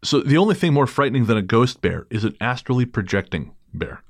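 A man's voice: medium pitch, animated, fairly quick, no room sound, studio quality. A man hosting a podcast, chatting casually and cracking crude jokes between stories. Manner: joking, crude humor with easy laughter. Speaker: podcast host.

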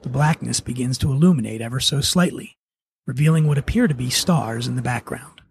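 A man's voice: medium pitch, brisk, vocal fry, husky, no room sound. A man hosting a podcast, talking casually and narrating a script. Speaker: podcast host and writer.

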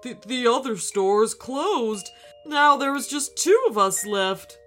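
A woman's voice: high-pitched, animated, very slow, bright, forceful tone, soft background music, voice pushed up, full character voice. A woman voicing a large, kindly but easily affronted female gorilla florist in a children's story, flustered and genteel. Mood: sorry, kindly. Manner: flustered, genteel indignation with stammers.

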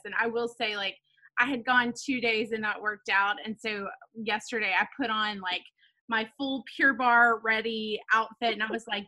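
A woman, hosting a ministry podcast in a casual chat, laughing often and filling pauses with "um" and "like.". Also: on the high side, bright, forceful tone, rough recording. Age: 30s. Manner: bubbly, laughs often, fillers like "um" and "like". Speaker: podcast host, founder of a girls' ministry. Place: home, talking over a video call.

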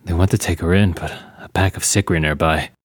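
A man's voice: deep voice, steady tone, a little fast, slightly rough, no room sound, clean studio sound. A man playing a grim camp guard in an audio drama, reporting in terse, clipped phrases. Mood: grave. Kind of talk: naturalistic audio drama acting.

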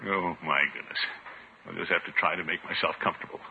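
An actor playing a blustering, jealous, middle-aged comic suitor in a 1950s radio comedy, grumbling and excitable. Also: male voice, deep voice, fast talker, vocal fry, very noisy. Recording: muffled old radio recording, music.

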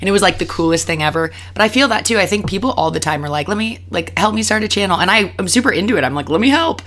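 A young woman in her twenties hosting a podcast in casual chat, her voice worn and hoarse, upbeat and quick to laugh, talking in a hotel room. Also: mid-range voice, animated, fairly bright tone. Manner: bubbly, upbeat, laughs often. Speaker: podcast host.

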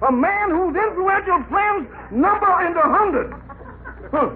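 An actor playing a self-important small-time lawyer in old radio comedy, pompous, theatrical and declamatory. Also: male voice, very high voice, lively intonation, measured pace. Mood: indignant, boastful. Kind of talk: 1940s radio comedy acting. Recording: tinny, noisy old radio recording.

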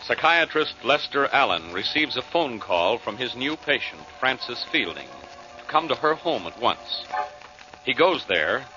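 An American male announcer from forties radio drama. His medium-pitched voice moves up and down expressively as he reads dramatic narration over music. The recording is old and muffled. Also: big pitch swings, a little slow. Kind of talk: scripted 1940s radio narration.

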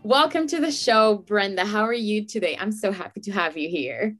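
A woman's voice: medium pitch, lively intonation, moderate pace, soft background music. A woman hosting a casual podcast interview, upbeat and enthusiastic, with frequent ums. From not from the United States. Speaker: podcast host.

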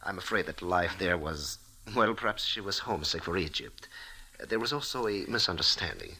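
An actor playing a nervous, courtly Italian count in a radio drama, speaking formally and haltingly. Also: male voice, low-pitched, expressive, pushed and bright, a little hiss or hum, heavily acted, low-quality recording. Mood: earnest pleading. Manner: formal, hesitant, polite, halting. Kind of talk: 1940s radio drama acting.

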